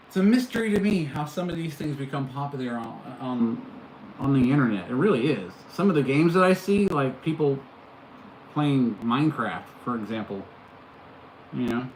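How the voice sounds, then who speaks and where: A man's voice: a little high, darkish tone. A middle-aged man hosting a casual live stream, chatting with viewers and reacting to their comments with amused disbelief. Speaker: livestream host. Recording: echoey room, faint background noise.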